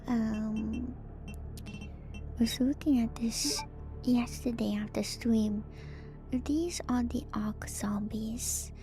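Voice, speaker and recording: A woman streamer giving soft, breathy, often whispered ASMR commentary on a horror game, musing and pausing often to think, close to the mic. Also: fairly high pitch. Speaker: streamer, virtual YouTuber host.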